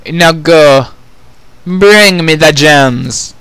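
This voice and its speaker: A man's voice: a little high, extremely slow, fairly bright tone, clean tone, dry recording, pitched above the performer's own voice, heavily acted. A man voicing a stern, imperious changeling queen and mother with a cold, commanding manner in a storybook reading.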